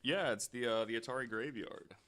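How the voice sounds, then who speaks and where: A man guest co-hosting a podcast, telling a story haltingly with dry jokes. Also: medium pitch, a bit bright. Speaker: guest co-host. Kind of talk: casual chat, telling a story.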